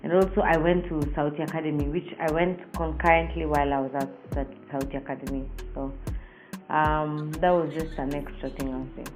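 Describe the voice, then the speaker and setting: Low-pitched Kenyan woman over music. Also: level tone, fairly quick, poor audio. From Nairobi, Kenya. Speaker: singer being interviewed. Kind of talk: casual personal storytelling in an interview.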